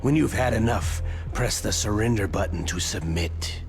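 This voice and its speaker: Menacing Russian torturer, video game villain, low gravelly voice, coldly theatrical, music behind. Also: male voice. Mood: gloating, menacing. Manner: menacing, deliberate, savouring pauses. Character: sadistic Russian torturer, aging veteran ex-military interrogator, coldly theatrical. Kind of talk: video game cutscene acting. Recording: music under the voice.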